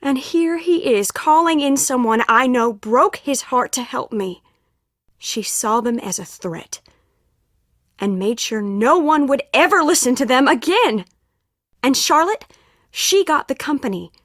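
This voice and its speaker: A woman's voice: sits high, animated, unhurried, fairly bright tone, no room sound, studio quality. An actress playing an earnest, troubled young woman in a video game, speaking with urgent, pleading sincerity. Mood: grave confiding. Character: earnest, troubled young woman confiding dark family secrets. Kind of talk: scripted game voice acting.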